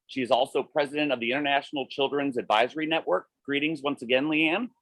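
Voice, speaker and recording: A man's voice: medium pitch, fast, a bit bright, no echo, poor audio. Dry-voiced man moderating a webinar. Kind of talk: formal introductions.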